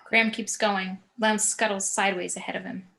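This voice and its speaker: A woman's voice: mid-range voice, little pitch movement, brisk, vocal fry, a bit bright. A woman narrating scene directions for a screenplay table read, occasionally stumbling over words.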